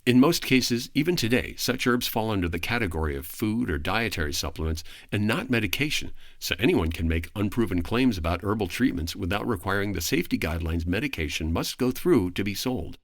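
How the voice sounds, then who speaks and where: Lowish-voiced man explaining history articulately. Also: animated, fairly quick, few pauses, dry recording, clean studio sound. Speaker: podcast guest, voice actor. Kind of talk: prepared explanatory narration.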